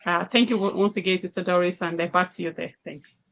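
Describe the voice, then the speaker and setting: A woman from Nigeria speaking haltingly with many fillers as a guest on a video call. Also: fairly low pitch, fairly even intonation, a bit bright, slight background noise. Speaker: guest on live stream.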